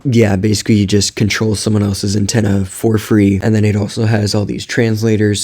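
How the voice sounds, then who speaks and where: A man giving a casual, informal product demonstration as a video host, speaking in a somewhat hesitant, halting way. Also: fairly low pitch, gravelly, darkish tone, breathy edge. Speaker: video host, radio hobbyist.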